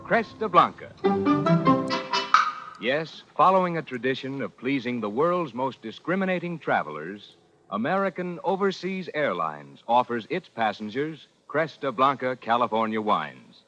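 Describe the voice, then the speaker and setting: A man's voice: medium pitch, sing-song, measured pace. A man reading a 1940s radio advertisement as an announcer, with a declamatory, polished delivery. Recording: muffled old radio recording, loud music.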